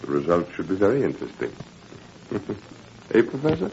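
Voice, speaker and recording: Smug, silky Nazi official, old radio. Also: male voice, low-pitched, huge pitch swings, fairly quick, gravelly, darkish tone, full character voice. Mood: veiled threat. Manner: silky, sardonic, mock-courteous, drawling hesitations. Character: smug, menacing Nazi education official, former university colleague. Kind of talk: 1940s radio drama acting. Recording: dull, noisy 1940s radio recording.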